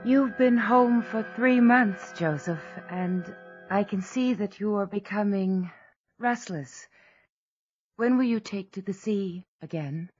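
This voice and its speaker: An actress playing a spirited, teasing sailor's wife. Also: female voice, medium pitch, slow, husky, lo-fi sound, faint music behind. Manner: playful, warm-hearted. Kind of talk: audio drama acting.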